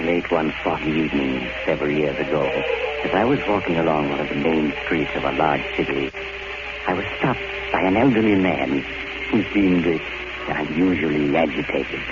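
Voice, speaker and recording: A low-voiced, sinister but genial male host narrates macabre radio tales. His delivery is suave and deliberate. Loud music sits behind him on the muffled 1940s recording. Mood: genially ominous.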